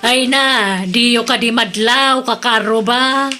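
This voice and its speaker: A woman's voice: on the high side, fairly even intonation, slow, faint music behind. A woman with a Filipino accent playing a spiteful, accusing middle-aged gossip in an audio drama. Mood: suspicious. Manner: sharp, accusatory. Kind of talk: audio drama acting in Ilocano.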